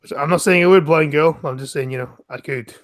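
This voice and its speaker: A man's voice: a little high, quick pace, no echo, studio quality. A Scottish man trading brash, boastful banter with friends on a stream. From Scotland.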